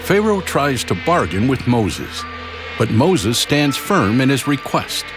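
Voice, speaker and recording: Slow, animated male storyteller. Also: medium pitch. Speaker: narrator. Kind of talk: scripted storytelling narration for children. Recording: loud music behind the voice. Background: music.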